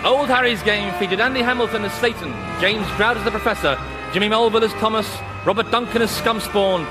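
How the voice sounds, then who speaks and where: High-pitched male radio announcer. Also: brisk. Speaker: credits announcer. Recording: music playing under the voice.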